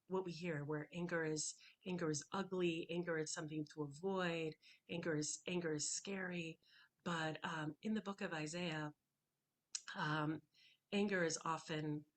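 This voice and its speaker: A woman poet whose first language is Hebrew talks through her work with many pauses and restarts, then reads slowly and deliberately. Her voice sits on the low side, and she speaks into a computer microphone on a video call. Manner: thoughtful, hesitant between poems, slow and deliberate when reading. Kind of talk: poetry reading with commentary.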